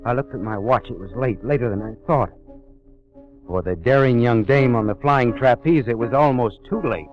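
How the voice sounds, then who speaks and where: A man's voice: medium pitch, normal speed, natural voice. An actor playing a hard-boiled male private detective who narrates in a terse, wry first person, 1940s radio acting. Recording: dull old radio recording with music.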